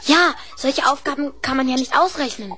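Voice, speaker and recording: Schoolboy inventor: high young voice, keen, practical, helpful, children's audio drama. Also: female voice, moderate pace, pushed and bright. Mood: thoughtful. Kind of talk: 1970s German children's radio play acting.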